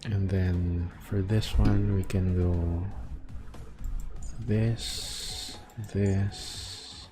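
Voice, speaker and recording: A man doing casual, low-key livestream commentary on a game, speaking hesitantly. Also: little pitch movement, drawn-out words. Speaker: game streamer. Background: game music.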